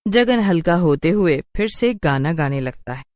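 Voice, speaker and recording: A woman's voice: fairly low pitch, expressive, moderate pace, a little mellow, no echo, studio quality. A woman narrating a scripted cartoon story in a dramatic storytelling style.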